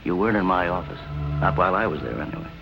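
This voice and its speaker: A scornful, evasive club owner from a forties crime film. His voice is deep and curt, with loud soundtrack music behind it. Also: expressive, very fast, gravelly, muffled recording. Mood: scornful, denying. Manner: curt, dismissive. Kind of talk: 1940s film noir acting.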